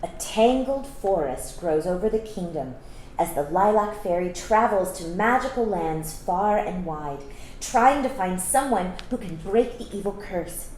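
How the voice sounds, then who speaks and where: A woman reading a children's story aloud expressively, pausing to explain things to young listeners. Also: lowish voice, expressive, a little mellow. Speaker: storytime reader. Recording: echoey room with faint background noise.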